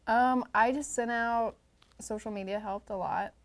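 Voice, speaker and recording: Woman with creaky voice, hesitant interviewee. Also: on the high side, fairly even intonation, unhurried. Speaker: documentary filmmaker being interviewed. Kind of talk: casual interview answers.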